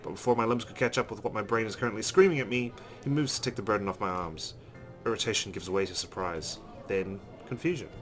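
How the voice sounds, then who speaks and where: Male gamer reading visual novel text aloud; somewhat low pitch, background music. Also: quick pace, fairly bright tone. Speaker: let's play host. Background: game music.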